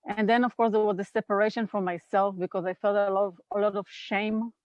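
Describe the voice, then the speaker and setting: A woman in her fifties with an Israeli accent talks slowly and reflectively, pausing to gather her thoughts. Her voice sits at an average pitch and comes through dry with no room sound. The video call audio is slightly muffled. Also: little pitch movement, darkish tone, dry recording. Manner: thoughtful, unhurried, with pauses and fillers. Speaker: guest, NVC trainer. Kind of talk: reflective interview talk. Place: home in California.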